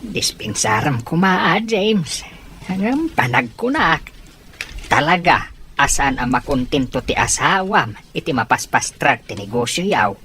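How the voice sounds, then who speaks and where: A kindly older man who runs a small business acted by a woman, low in pitch. He talks in a measured, sympathetic way, as in an audio drama, over faint noise. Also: sing-song, fairly bright tone, full character voice. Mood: kindly, apologetic. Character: kindly older male water station boss.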